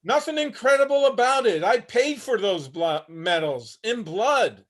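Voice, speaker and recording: Man acting sardonic old veteran, swooping high voice. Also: expressive, a little slow, fairly bright tone. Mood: wry, bitter. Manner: wry, blunt, streetwise. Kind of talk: staged reading of a play. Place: remote video-call reading.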